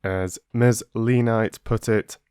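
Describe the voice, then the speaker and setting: A man narrating as an audiobook storyteller, with expressive, deliberate and dramatic phrasing, close to the microphone. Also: fairly low pitch, clear, resonant tone, no echo. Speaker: storyteller and channel host.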